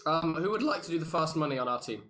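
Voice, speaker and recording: A man's voice: a little high, expressive, quick pace, pushed and bright. A man chatting casually and swearing freely as a panelist in a podcast quiz game. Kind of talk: casual group banter.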